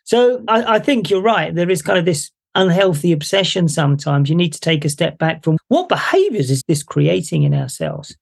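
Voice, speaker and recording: A male podcast host with a notably high voice and a gravelly, fry-laden edge. He talks loosely, circling back and restarting sentences, and chuckles along the way. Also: sing-song, normal speed, few pauses. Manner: rambling, self-correcting, laughs easily. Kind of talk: conversational interview.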